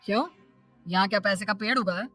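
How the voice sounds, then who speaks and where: A woman's voice: mid-range voice, a little fast, fairly bright tone, soft background music, natural voice. A woman voicing a bossy, exasperated, short-tempered young husband in a cartoon family story. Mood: harsh, rude.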